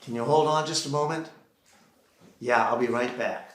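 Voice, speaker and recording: An American man performing a theatrical solo monologue, deliberately acting out one side of a phone call on a theater stage. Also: medium pitch, fairly bright tone, slight background noise. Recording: roomy, echoing live theater sound.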